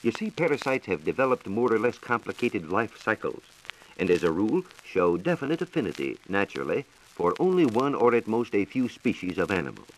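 Male American science reporter, 1940s radio. Lowish voice, highly varied intonation, formal and explanatory, hissy old disc. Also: sing-song, fairly quick, rough recording. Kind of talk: 1940s scripted educational radio talk. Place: radio studio.

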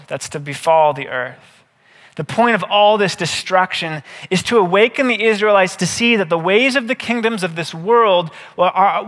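A man in his thirties, a pastor giving a measured, scripted sermon with deliberate phrasing. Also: high-pitched, sharp tone. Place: indoor stage, seated at a table. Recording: reverberant hall.

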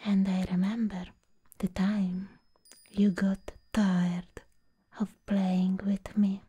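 A young woman performing a hushed, whispered ASMR roleplay as a sweetly possessive living doll, pausing deliberately, close to the microphone. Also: medium pitch, level tone. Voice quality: breathy whisper. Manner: slow, hushed, deliberate, with long pauses.

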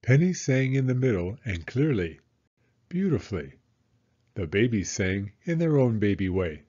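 A man narrating a children's story as a storyteller, in a measured, expressive manner. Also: on the low side, muffled recording.

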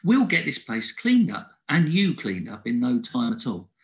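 A man voicing a kindly, helpful male hare who speaks gently and reassuringly. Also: medium pitch, huge pitch swings, moderate pace, gravelly.

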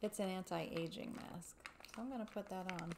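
A woman in her thirties speaking softly and gently in an ASMR personal-attention roleplay, close up in a room at home. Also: on the low side, moderate pace, a little hiss or hum. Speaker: ASMR host playing a barber giving a facial. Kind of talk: soft-spoken ASMR roleplay.

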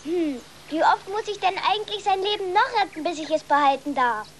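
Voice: very high voice, lively intonation, fairly quick, a bit bright, slight background noise. A young performer playing a cheeky, clever farm boy in a German-dubbed children's film, earnest and matter-of-fact. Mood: questioning.

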